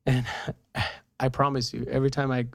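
A woman's voice: extremely low pitch, moderate pace, vocal fry, dry recording, clean studio sound. A woman chatting candidly as a guest on a faith talk show, telling personal stories and acting out what people said. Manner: animated retelling with acted-out quotes. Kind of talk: candid personal storytelling in casual chat.

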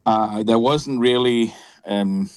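A man who teaches worship, speaking hesitantly with many pauses and restarts. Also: medium pitch, darkish tone. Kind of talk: casual chat.

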